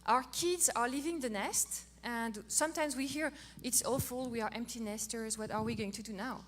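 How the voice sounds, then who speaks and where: Middle-aged french lady, high voice, playful and lively, talking marriage to a crowd. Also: moderate pace. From France. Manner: playful, animated storytelling. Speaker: co-host of a marriage talk. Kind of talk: casual talk to an audience.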